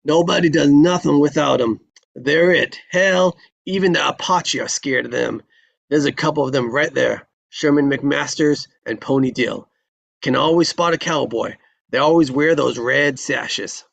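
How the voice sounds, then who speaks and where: A man's voice: a little high, measured pace, vocal fry, no echo, lo-fi sound. A man voicing a jovial old frontier marshal with folksy western talk, in a screenplay table read. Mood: matter-of-fact, wary.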